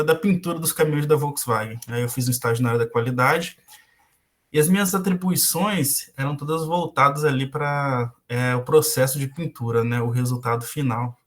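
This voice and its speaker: A young man with a Brazilian accent, giving a guest lecture over a video call and pausing often on fillers and restarts. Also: medium pitch. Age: young adult. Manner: hesitant, frequent filler "é" and restarts. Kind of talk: casual online lecture.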